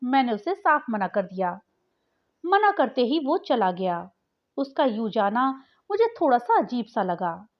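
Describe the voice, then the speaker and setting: A woman narrating a scripted short story in an expressive, dramatic storytelling manner. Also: fairly high pitch, a little slow. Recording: dull, lo-fi sound.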